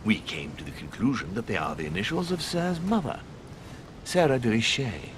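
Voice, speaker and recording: A man's voice: animated, normal speed, close to an everyday voice. A man playing a deferential, ceremonious manor servant in a video game, formal and eager to reassure. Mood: formal, careful. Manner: obsequious, formal, addresses listener in third person. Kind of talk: video game cutscene acting. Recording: constant rumbling background noise.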